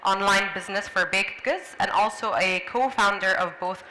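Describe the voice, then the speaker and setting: A woman's voice: mid-range voice, normal speed, bright, forceful tone, slight background noise, poor audio. A woman moderating a panel, reading out a panelist's introduction. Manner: announcing, reading a bio.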